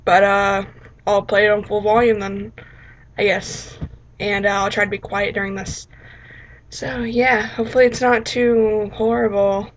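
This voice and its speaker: A woman's voice: medium pitch, flat delivery, a little slow, rough recording. A woman chats casually to her viewers. She rambles and hesitates, putting herself down a little. The amateur recording has faint background noise. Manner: hesitant, rambling, self-deprecating. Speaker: vlogger reacting to audio. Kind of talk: casual chat and interjections.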